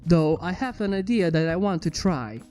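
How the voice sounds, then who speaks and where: A mellow, studious mare princess played by a woman, earnest and a bit skeptical. She speaks in precise, formal, inquisitive phrases, with music softly behind her. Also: fairly low pitch, expressive, normal speed, voice pushed down, natural voice. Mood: concerned, curious. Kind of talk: fan fiction character reading. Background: background music.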